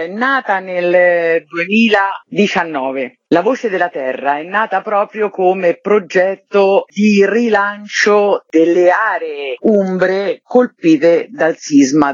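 A woman's voice: lowish voice, slow, few pauses, dry recording. A woman explaining things spontaneously as an interview guest, with somewhat hesitant phrasing. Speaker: interviewed festival artistic director. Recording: dull, lo-fi, dry sound.

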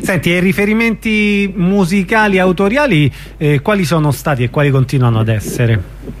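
A man with an Italian accent hosting an informal radio interview, phrasing his questions in a hesitant, halting way. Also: high voice, slight background noise.

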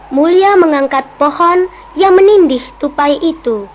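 A woman narrating a fairy tale in a gentle, wondering way. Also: high voice, a little slow, noisy background. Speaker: narrator and young girl character. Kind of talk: storytelling drama. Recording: music playing behind the voice.

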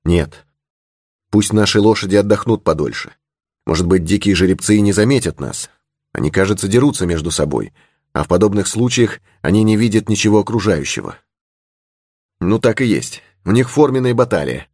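A man's voice: lowish voice, lively intonation, a little fast, darkish tone, slightly rough, dry recording, studio quality. A man voicing a courteous, cautious young horseman protecting a lady in an adventure audiobook. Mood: urgent, cautious. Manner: courteous, earnest. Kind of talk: audiobook character in adventure novel.

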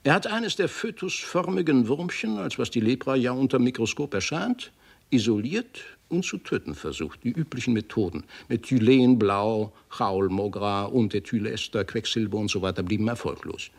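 An actor playing a friendly, skeptical old doctor who explains things in a scholarly, deliberate way in a 1960s German radio drama. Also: male voice, medium pitch, animated, creaky, slightly rough. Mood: animated explaining. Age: older. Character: friendly old doctor, skeptical, learned leper-clinic director.

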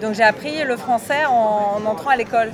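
A woman's voice: a little high, a little fast, over music. A woman from Lebanon who grew up in Paris, speaking thoughtfully with many hesitations as an interview guest. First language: Arabic. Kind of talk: casual interview.

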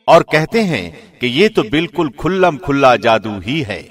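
Man reading scripture with lively intonation. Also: medium pitch, faint music behind. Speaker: translation reader, radio presenter.

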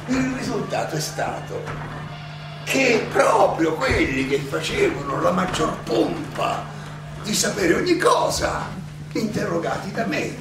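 A very echoey, distant recording of a wry philosopher pleading his case on stage. The voice is high and slow, halting for rhetorical effect as it addresses the audience. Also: full character voice. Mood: animated, ironic. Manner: halting, rhetorical, with dramatic pauses and repetitions. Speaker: stage actor. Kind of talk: theatrical monologue addressed to an audience. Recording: echoey hall, distant mic, clear noise.

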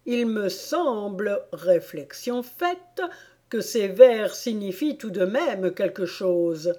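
A woman's voice: mid-range voice, slow pace, mellow. A woman voicing a pompous, self-satisfied king of playing cards in a storybook, speaking in grand declarations. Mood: pompous, pondering.

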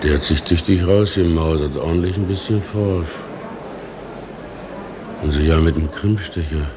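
Male actor as gossipy railway porter, deep, colloquial, background hiss. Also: normal speed, natural voice. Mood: amused, musing. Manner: colloquial, musing.

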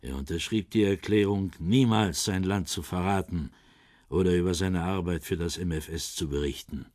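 An actor playing a heavyset older former East German intelligence officer in a radio crime drama, defensive and sardonic, halting between pride and evasion. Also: male voice, low-pitched, darkish tone, no echo, studio quality, lightly acted. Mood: proud, reminiscing.

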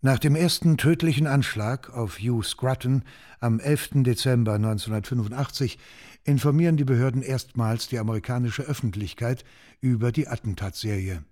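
Mellow, sober male documentary narrator. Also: medium pitch. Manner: factual, sober.

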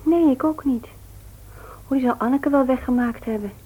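A female radio play actor playing a sympathetic, inquisitive wife and mother in a gentle conversational manner. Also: high voice, moderate pace, breathy edge, phone-quality sound. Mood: relaxed. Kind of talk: naturalistic Dutch radio drama acting.

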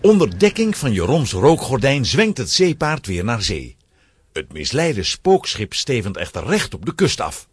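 A man's voice: medium pitch, huge pitch swings, unhurried, breathy edge, no room sound. A man narrating a children's adventure story in a dramatic, scripted storytelling style.

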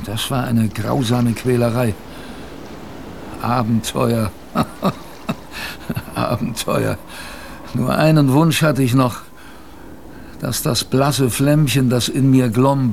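A weary expedition sailor narrating a radio drama in the first person. His voice is mid-pitched and creaky, reflective yet dramatic, with faint noise behind it. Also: a little mellow. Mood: reflective, exhausted.

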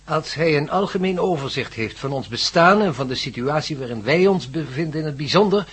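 A man acting in a Dutch radio play as a learned, excitable professor who explains things in measured phrases. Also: on the high side, breathy edge, full character voice. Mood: confident, genial. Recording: faintly noisy radio drama recording.

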